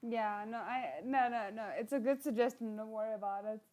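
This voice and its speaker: Higher-voiced woman chatting on a livestream. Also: brisk, a little mellow. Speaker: host of a live watchalong reaction stream. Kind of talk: casual livestream chat with viewers.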